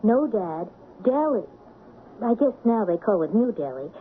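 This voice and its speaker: A woman's voice: medium pitch, very animated, measured pace. An actress playing an earnest, determined teenage girl, a sheriff's daughter turned sleuth, in an old-time radio drama. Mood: pleading, insistent. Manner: earnest, insistent. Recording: tinny, noisy old radio broadcast.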